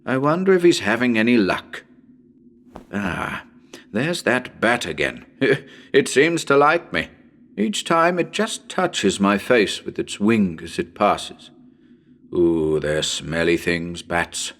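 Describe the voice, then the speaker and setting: A man's voice: on the low side, big pitch swings, takes it slowly, fairly bright tone, heavily acted. A man playing a chatty, wryly uneasy radio broadcaster reporting from a haunted house in a dramatic horror reading. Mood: nervous, curious. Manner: conversational, wry, hesitant.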